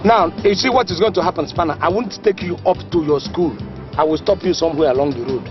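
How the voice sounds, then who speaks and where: Casting: a man as a high-pitched, scornful Nigerian husband, music under him. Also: fast, highs cut off, lightly acted. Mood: stern. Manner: scornful, scolding, repeats himself. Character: contemptuous, distrustful middle-aged husband. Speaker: husband and father.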